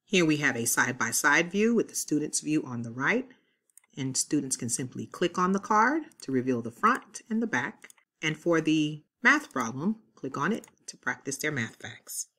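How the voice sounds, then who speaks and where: A woman teacher giving clear step-by-step instructions in a screen-recorded software tutorial, voiced over the screen. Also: low-pitched, sing-song, normal speed.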